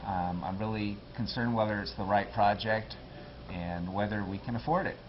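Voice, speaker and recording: A man's voice: lowish voice, moderate pace, highs cut off. A man running for local office, talking earnestly and plainly as a guest on a local TV interview. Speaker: political candidate.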